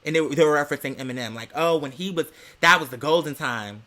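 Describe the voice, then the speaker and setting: A man's voice: on the high side, fast talker, bright, forceful tone. An American man giving a casual, opinionated monologue in a sassy, emphatic, slangy manner. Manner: sassy, slangy, emphatic, peppered with "girl" and "bitch". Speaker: online commentator.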